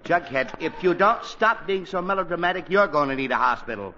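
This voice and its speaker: Bright-voiced, exasperated radio dad. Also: male voice, fairly high pitch, lively intonation, brisk. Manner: sputtering, exasperated. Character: exasperated middle-aged father and husband, blustering. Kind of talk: 1940s radio comedy acting. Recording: noisy, dull 1940s radio broadcast.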